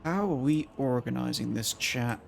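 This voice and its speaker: A male streamer with a croaky voice, chatting casually to his viewers as he thinks aloud and plans his moves in a strategy game. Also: medium pitch, moderate pace, a little hiss or hum. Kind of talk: casual gameplay commentary to chat.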